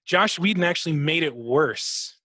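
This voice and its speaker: A man's voice: a little high, expressive, normal speed, pushed and bright, no room sound, studio quality. A man co-hosting a podcast in casual chat, talking in an opinionated, emphatic way with plenty of swearing. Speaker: podcast co-host.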